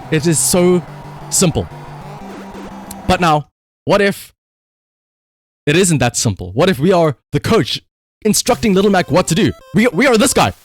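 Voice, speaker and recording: A man doing casual livestream gaming commentary in an excitable, emphatic way, prone to shouting and swearing. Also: fairly high pitch. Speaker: live streamer.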